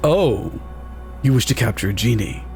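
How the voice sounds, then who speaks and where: Suave curator acted by a man, guarded, intrigued, dry formal delivery, mid pitch, tabletop game character, music behind. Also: expressive, normal speed, darkish tone, natural voice.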